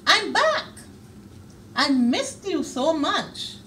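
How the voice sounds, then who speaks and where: A woman's voice: high voice, big pitch swings, drawn-out words, sharp tone, recorded from a distance, slight background noise, voice pushed up, heavily acted. A woman voicing an eager, pleading male bear from a picture book, calling out earnestly. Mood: eager, glad.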